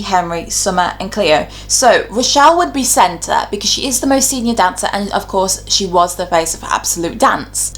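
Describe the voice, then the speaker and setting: Chatty young woman giving opinionated commentary. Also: mid-range voice. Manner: chatty, opinionated, explains reasoning point by point. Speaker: YouTube host giving ranked commentary. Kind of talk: casual opinionated video commentary.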